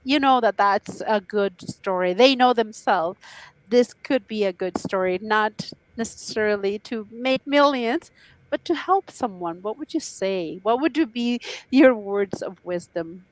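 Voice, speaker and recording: A woman's voice: medium pitch, lively intonation, unhurried, no room sound, rough recording. A woman hosting an interview show, chatty and effusive, often restarting her sentences. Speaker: talk show host.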